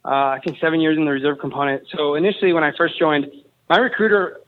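A man in his thirties from Mexico tells his story in a quick, animated flow, keen and ready with a joke. His voice sits a little high for a man. He comes through a tinny, phone-like line. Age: 30s. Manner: enthusiastic, jokey storyteller. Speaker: guest, company founder and Army veteran. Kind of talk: casual interview chat.

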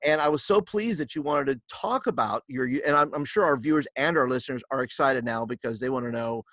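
A man's voice: medium pitch, brisk, dry recording. A man hosting an interview podcast, asking questions with many false starts and repeated words. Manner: stumbling restarts, warm questioning. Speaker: podcast host. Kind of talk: casual interview chat.

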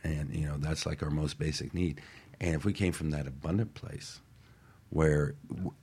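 A man with a deep, slightly rough voice speaks as a panelist on a talk show. He talks in a casual, earnest, motivational way and often checks that listeners follow him. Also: fairly even intonation, moderate pace, darkish tone.

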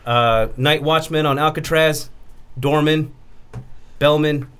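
A man's voice: on the high side, fairly even intonation, slow pace, a bit bright, smooth. An American man from San Francisco chatting casually as a guest on a podcast. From San Francisco, United States. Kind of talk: casual chat.

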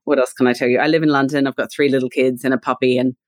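A woman's voice: very deep voice, flat delivery, racing, dry recording, clean studio sound. A woman in her forties with an Australian accent, speaking as a podcast guest in a thoughtful, conversational way, laughing easily. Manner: warm, laughs readily, thoughtful with "you know" fillers. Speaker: guest, leadership and culture consultant.

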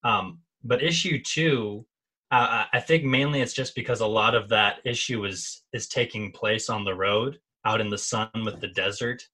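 A man's voice: lowish voice, normal speed, pushed and bright, slightly rough. A man co-hosting a comics podcast, asking long conversational questions with frequent restarts. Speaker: podcast co-host. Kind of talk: casual interview questions.

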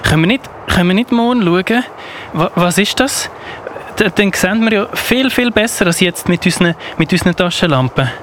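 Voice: mid-range voice, animated, a little fast, fairly bright tone, lightly acted. Timid little brother for amateur radio drama, played by an actor: hesitant, repeats words, slightly noisy recording. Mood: anxious. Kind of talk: amateur radio play acting in German.

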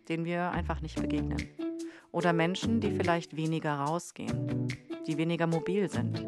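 A woman host reading scripted documentary narration for a podcast. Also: low voice. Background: faint music.

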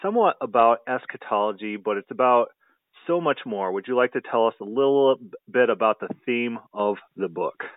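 A man's voice: mid-range voice, lively intonation, moderate pace, no room sound. A man hosting an interview show, introducing his guest and asking questions in an enthusiastic, conversational way. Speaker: podcast host. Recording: dry, phone-like audio.

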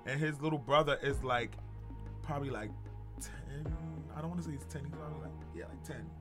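A man's voice: on the high side, fairly even intonation, brisk, music in the background. A man in his twenties chatting casually as co-host, giving opinions in a rambling way with lots of fillers. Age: 20s. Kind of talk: casual chat.

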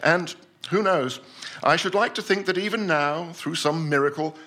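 An actor playing a pompous, self-deluded scientist-explorer, formally and deliberately reciting his voyage report as a theatrical stage story. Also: a little high, sharp tone. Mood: boastful, proud. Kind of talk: dramatic first-person short story reading at a live night.

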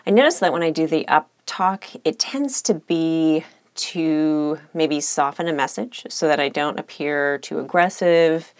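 A woman hosts a solo podcast in a low, steady voice with a touch of vocal fry and uptalk. She talks in a reflective, measured, candid way. The sound is dry and close. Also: no echo. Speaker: podcast host, lawyer.

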